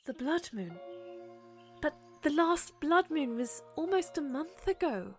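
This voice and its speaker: A woman voicing a young princess in a fan audio drama, soft-spoken, anxious and full of self-doubt. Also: high-pitched, animated, a little slow, faint music behind. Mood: thoughtful. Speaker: voice actor.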